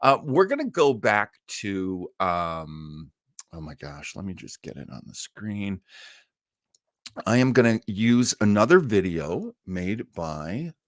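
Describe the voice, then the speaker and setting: Low-voiced, very expressive male host. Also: takes it slowly, no echo, clean studio sound. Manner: enthusiastic, informal. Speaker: listening party host. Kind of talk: casual livestream chat.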